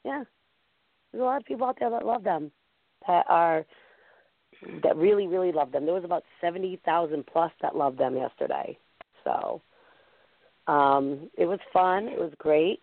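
A woman hosting a live internet talk show, with a deep, raspy voice gone hoarse, rambling excitedly and talking to her chat room. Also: moderate pace, pauses often, no room sound. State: hoarse from a concert. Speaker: podcast host. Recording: tinny phone-line audio.

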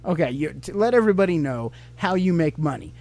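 A man's voice: fairly high pitch, lively intonation, fast talker, noisy background. A man hosting a podcast, chatting casually and asking playful questions as if to a child. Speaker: podcast host.

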